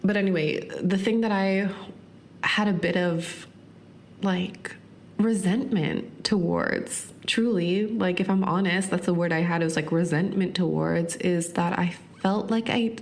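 A woman's voice: fairly low pitch, slight background noise. Earnest woman podcaster in her thirties. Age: 30s. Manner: earnest, frequent "like" fillers, speeds up and slows for emphasis. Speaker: podcast host. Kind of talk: reflective solo podcast monologue.

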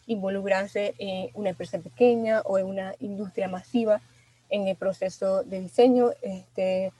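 A young Venezuelan woman answering radio interview questions as an industrial designer, pausing often with fillers and restarts. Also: mid-range voice, mellow, no room sound, rough recording. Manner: hesitant, many fillers and restarts. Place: radio studio.

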